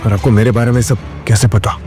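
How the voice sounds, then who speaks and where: A man's voice: medium pitch, flat delivery, fast talker, lightly acted. Male actor for humble young-hero role of a shoe-polisher, polite, hesitant, audio drama over prominent music. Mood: bewildered. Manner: deferential, hesitant. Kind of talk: Hindi audio drama acting. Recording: loud background music under the voice.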